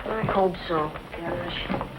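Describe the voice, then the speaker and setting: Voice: mid-range voice, very slow. An actor playing an earnest, guilt-ridden young ranch boy in an old-time western drama, on a dull, noisy recording. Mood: subdued worry.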